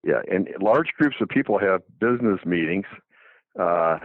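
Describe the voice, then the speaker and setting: A man's voice: deep voice, expressive, moderate pace, no echo. A man in his seventies, a doctor, talking casually on the radio with many restarts and fillers. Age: 70s. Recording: phone-like dry narrow line.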